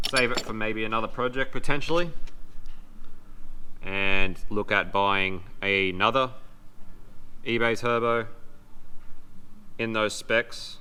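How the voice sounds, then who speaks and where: A man's voice: lowish voice, bright, forceful tone. A man with an Australian accent giving casual hands-on vlog commentary in a blunt, laid-back, slangy way. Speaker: host of a DIY car build vlog. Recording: clear background noise on amateur video.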